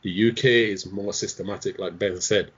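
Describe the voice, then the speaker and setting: A man in a casual group discussion, speaking in a measured, reflective way with frequent pauses. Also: low-pitched, steady tone, fairly bright tone, slightly rough. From outside the UK. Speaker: panel guest. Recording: dull lo-fi sound.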